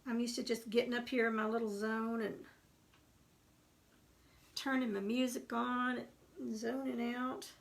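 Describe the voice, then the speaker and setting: A woman with a Texas accent and a somewhat high, gravelly voice. She talks through a live painting tutorial in a casual, rambling way, drifting into homey asides from her craft workspace. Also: level tone, measured pace, pauses often. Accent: Texan.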